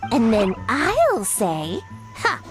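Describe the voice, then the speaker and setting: A woman's voice: a little high, wildly swooping pitch, drawn-out words, exaggerated voice. A woman voicing a pompous, condescending mushroom riddle keeper in a video game, speaking theatrically. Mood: smug, scornful. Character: pompous mock-wise talking mushroom, scornful riddle keeper. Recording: loud background music. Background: game music.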